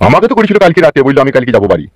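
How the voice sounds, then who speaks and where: A man's voice: medium pitch, sing-song, very fast, no room sound. A man voicing a genial, fussy middle-aged village father in a comedy cartoon, chatty and insistent.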